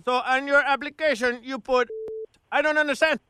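A man's voice: very high voice, steady tone, moderate pace, pushed and bright, no room sound, studio quality. A man voicing a pushy foreign immigration officer in a prank call, with a put-on foreign accent and broken grammar, repeating himself. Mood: brisk, officious.

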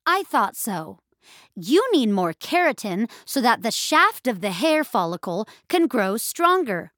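A woman's voice: fairly high pitch, sing-song, a little slow, a bit bright, no echo, studio quality. A woman voicing a coaxing, instructive fitness app assistant in an audio drama, matter-of-fact in manner.

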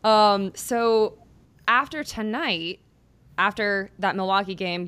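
A woman's voice: medium pitch, a bit bright. Bubbly, enthusiastic woman podcast host. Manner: enthusiastic and bubbly, gushes with praise. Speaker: podcast host, sports beat reporter. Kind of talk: podcast hosting and interviewing.

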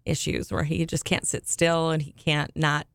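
A woman's voice: low voice, little pitch movement, moderate pace, clean tone, no echo. A woman co-hosting a casual podcast, laughing often and rambling earnestly. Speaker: podcast co-host. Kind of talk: casual chat.

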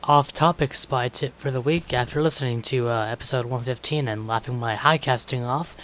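A man leaving a casual, unedited voice message with stutters and long pauses. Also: medium pitch, fairly bright tone, low-quality recording. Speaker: listener sending a voice message. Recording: hissy home-recorded audio message.

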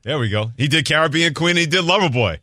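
A man's voice: a little high, animated, quick pace, pushed and bright, no echo. A middle-aged man hosting sports talk radio in a casual, bantering style.

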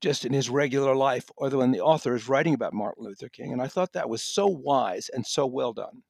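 A man's voice: mid-range voice, a little fast, creaky, a little mellow, no room sound. American man reviewing books, dry close sound. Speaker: audiobook reviewer and podcast guest. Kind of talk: conversational review commentary.

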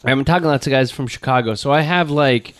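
A man's voice: medium pitch, fast talker, no echo. A man co-hosting a podcast, rambling in casual, crude, joking chat. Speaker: podcast co-host.

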